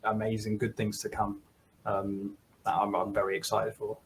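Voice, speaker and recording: A German man in his thirties, a software developer chatting as a guest on a video call, laughing often and restarting his sentences. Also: on the low side, completely flat delivery, moderate pace. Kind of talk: casual interview talk.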